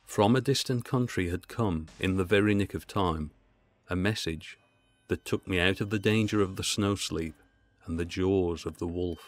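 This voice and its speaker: A man's voice: low-pitched, moderate pace, dry recording. A man reading a literary story aloud as scripted narration. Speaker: audiobook reader.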